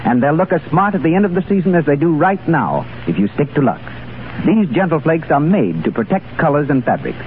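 A man's voice: fairly high pitch, sing-song, fairly quick. A man reading a scripted sponsor announcement as a 1930s radio announcer, polished and salesy. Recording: tinny, hissy 1930s radio recording.

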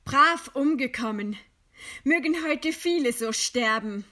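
A woman's voice: sits high, animated, takes it slowly, bright, forceful tone, exaggerated voice. An actress playing a proud, defiant condemned lady in a radio play, with a haughty, formal and composed delivery. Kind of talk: radio play acting, stylised literary dialogue.

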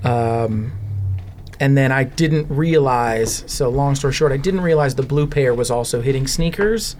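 A man's voice: medium pitch, a little slow, darkish tone, slight background noise. A man speaking as a podcast guest in an informal, conversational chat. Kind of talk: casual chat. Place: podcast studio.

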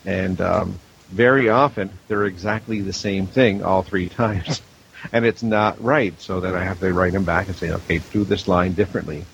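A man's voice: deep voice, steady tone, moderate pace, creaky, a little hiss or hum, low-quality recording. A man talking casually as a guest producer in an interview.

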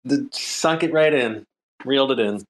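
A man's voice: medium pitch, a little slow, poor audio. A man speaking casually in a group chat, explaining things conversationally.

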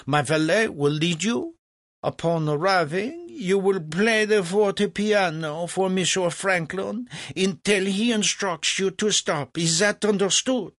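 A man's voice: sits high, lively intonation, unhurried, a bit bright, dry recording, studio quality, voice pushed up. A man voicing a pompous, boastful French host in a storybook, with a grandiose, emphatic manner. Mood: commanding.

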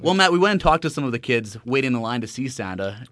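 A man's voice: medium pitch, big pitch swings, fast talker, a bit bright, no echo. A man co-hosting a podcast segment in a conversational, lightly scripted way.

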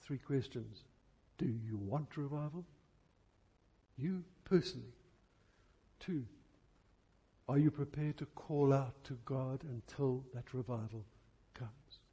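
A man preaching a revival sermon earnestly and deliberately, pressing his questions with repeated emphasis. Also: mid-range voice, warm tone. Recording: husky, very reverberant church hall sound.

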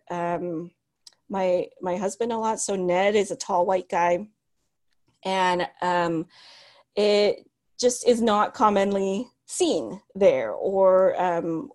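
A woman in her thirties telling her story as an interview guest, reflective and full of restarts. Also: medium pitch. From United States. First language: Cantonese. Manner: reflective, many restarts and ums, laughs softly. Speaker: guest, author.

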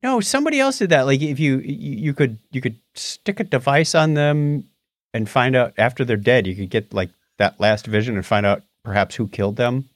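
A man co-hosting a podcast in casual chat, sometimes halting as he searches for words. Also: mid-range voice, lively intonation, dry recording, clean studio sound. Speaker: podcast co-host. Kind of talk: casual chat about movies and tech.

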